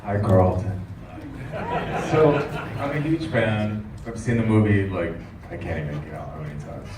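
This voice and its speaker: A man's voice: fairly low pitch, a little slow, crowd noise, noisy background. A man in the audience asking a long question in a rambling, chatty way with many fillers. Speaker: audience member. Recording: echoey hall, far from mic.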